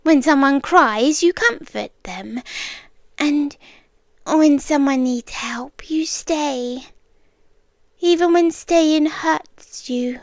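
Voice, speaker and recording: A British woman acting in an ASMR roleplay as an obsessive, calmly possessive girlfriend, speaking intimately and often slipping into whispers. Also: high voice, drawn-out words, no echo, heavily acted. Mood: tender, insistent. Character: obsessive, soft-spoken yandere girlfriend, calmly possessive young woman captor.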